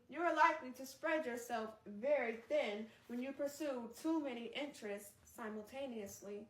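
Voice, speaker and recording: A woman hosting a read-aloud, moving between narration and casual, expressive, chatty asides. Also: fairly high pitch. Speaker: host reading a book aloud on a live stream. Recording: roomy echo, distant microphone.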